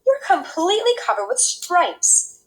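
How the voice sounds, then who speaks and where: A woman's voice: extremely high pitch, big pitch swings, unhurried, voice pushed up, exaggerated voice. A woman voicing an anxious, bossy mother from a children's storybook, emphatic and fretful. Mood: horrified.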